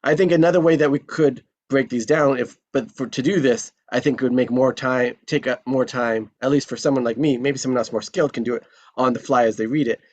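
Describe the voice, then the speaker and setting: A man's voice: medium pitch, fairly even intonation, brisk, darkish tone. A man in a casual discussion after a table read, thinking aloud with many fillers and restarts.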